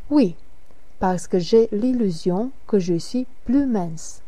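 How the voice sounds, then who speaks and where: A woman reads Anne, a practical adult woman, in a learners' dialogue. Every phrase comes slowly and carefully. The tone is warm and dark. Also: mid-range voice, expressive. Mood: plain. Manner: careful, evenly paced reading. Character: adult woman who likes simple sporty clothes. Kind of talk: slow scripted language-lesson dialogue.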